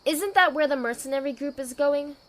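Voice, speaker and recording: A woman playing a dutiful, weary knight commander in an audio drama, speaking in a measured, authoritative way. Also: sits high, fairly bright tone. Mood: businesslike.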